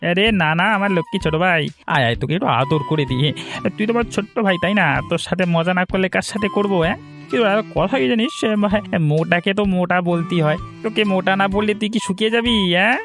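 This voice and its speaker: A skinny village teaser with a high, quick voice. He mocks and wheedles in cartoon style over faint music. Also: fairly bright tone. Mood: teasing, wheedling. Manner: mocking, wheedling. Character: lazy, teasing, skinny village man. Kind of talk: Bengali fairy-tale cartoon dialogue.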